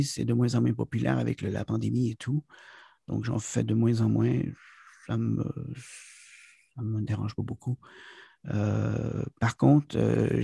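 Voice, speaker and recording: A man with a Québécois French accent talks through an interview in a gravelly, husky voice. His tone is dark and mellow, the sound dry and close. He hesitates often, restarting phrases and filling gaps with "euh". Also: on the low side, no room sound. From Quebec. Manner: hesitant, many fillers and restarts. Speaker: guest, trainer and author. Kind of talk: casual interview talk.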